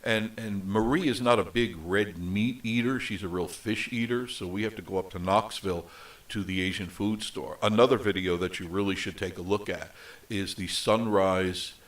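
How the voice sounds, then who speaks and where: Middle-aged male host, nasal, lowish voice, loose unscripted rambling, set back from the mic. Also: moderate pace, distant mic. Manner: rambling, with many fillers and restarts. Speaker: host of a live travel show. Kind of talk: unscripted casual live chat.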